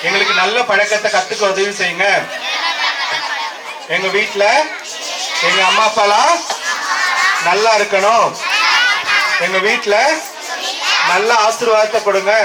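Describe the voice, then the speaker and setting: A man's voice: sits high, level tone, a little slow, pauses often. A man telling a simple Bible story and leading prayer for children at a live program, in short phrases with questions. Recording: distant mic, noisy children's crowd.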